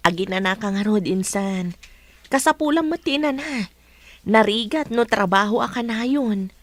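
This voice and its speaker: A woman's voice: medium pitch, lively intonation, moderate pace, lightly acted. A woman with a Filipino accent playing a caring young cousin in a radio drama. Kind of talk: Ilocano radio drama acting.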